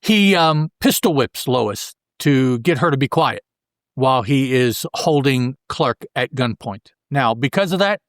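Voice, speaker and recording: A man's voice: mid-range voice, expressive, measured pace, no room sound, studio quality. A man hosting a podcast, recapping a comic book in a casual, colloquial way with joking asides. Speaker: podcast host.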